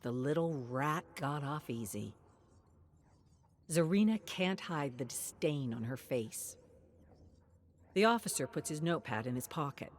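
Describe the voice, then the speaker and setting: Low-voiced woman narrating a story. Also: lively intonation, unhurried, pauses often, slight background noise. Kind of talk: scripted dramatic story narration.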